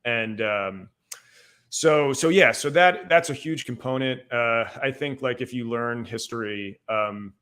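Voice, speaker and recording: A man's voice: medium pitch, a little slow, fairly bright tone, clean tone. Irish man talking history, lots of fillers. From Ireland. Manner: rambling, many fillers like "like" and "um". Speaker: guest historian. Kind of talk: casual podcast discussion.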